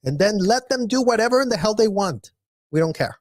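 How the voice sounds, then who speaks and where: A man's voice: high-pitched, lively intonation, fairly quick, darkish tone, no room sound, clean studio sound. A man hosting a livestream discussion, speaking in an adamant, impassioned way. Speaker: channel host.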